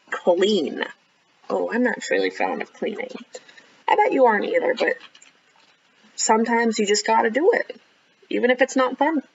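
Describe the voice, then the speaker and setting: A woman children's librarian reading a picture book aloud expressively, with animated, encouraging chatty asides to young listeners. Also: medium pitch, muffled recording, a little hiss or hum. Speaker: children's librarian hosting storytime.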